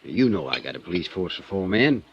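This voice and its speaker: An actor playing a folksy small-town police constable in a 1950s radio drama, drawling and unhurried. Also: male voice, sits low, big pitch swings, breathy edge, exaggerated voice. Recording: dull old radio recording.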